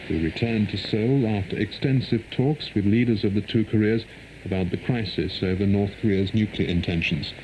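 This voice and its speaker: A low-pitched man reads world news in a formal, measured way. The voice comes over 1990s shortwave radio, with faint noise around it. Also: mellow, low-quality recording. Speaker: radio newsreader. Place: broadcast studio, heard over shortwave.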